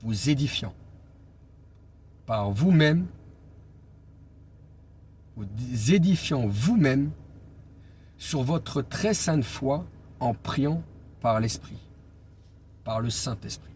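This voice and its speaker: A man preaching an informal Bible teaching as an evangelist, speaking deliberately and pausing on key phrases for emphasis. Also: mid-range voice, animated, darkish tone. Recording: clear background noise and ambience.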